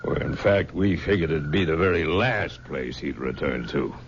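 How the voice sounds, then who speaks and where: An actor playing an observant, grumbling big-city detective with a dry manner in an old radio drama. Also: male voice, rumbling low, moderate pace. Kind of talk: 1970s radio drama acting. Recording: dull old radio recording, background noise.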